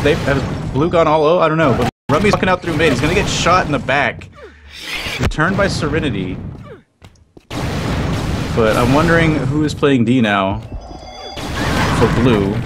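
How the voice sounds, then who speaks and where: A man doing rapid play-by-play commentary on an online shooter match, his voice climbing when the action gets exciting. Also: a little high, heavy background noise. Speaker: esports commentator. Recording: music loud behind the voice.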